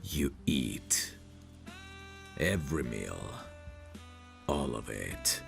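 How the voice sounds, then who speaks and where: A man acting out a possessive, protective mafia boss in an intimate audio roleplay. His voice is extremely deep and gravelly, and he speaks slowly and deliberately. He sounds smooth and sure of himself, coaxing with an edge of menace. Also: lively intonation, faint music behind, heavily acted. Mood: commanding, possessive. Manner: slow, deliberate, self-assured, coaxing with pet names.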